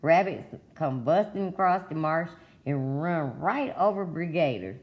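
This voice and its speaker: A woman's voice: low-pitched, lively intonation, measured pace, highs cut off. A woman voicing a folksy storybook teller of tall tales. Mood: folksy, boastful.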